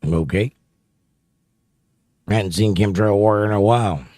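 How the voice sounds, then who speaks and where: A man's voice: lowish voice, normal speed, a little mellow, no room sound. A man hosting a casual livestream, reading viewer comments aloud and chatting on about them into a close microphone. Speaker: host reading live chat. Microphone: close studio mic, held near the mouth.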